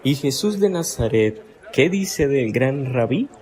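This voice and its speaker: A man's voice: medium pitch, expressive, slow pace, darkish tone. An actor playing an older, skeptical townsman in a radio drama, asking probing, incredulous questions.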